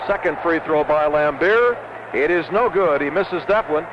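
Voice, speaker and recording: A man calls a basketball game live for radio in a high-pitched voice, in short, clipped running phrases. The sound is a dull, noisy 1970s broadcast recording. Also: moderate pace. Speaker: radio play-by-play announcer. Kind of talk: live basketball play-by-play commentary. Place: courtside in a basketball arena.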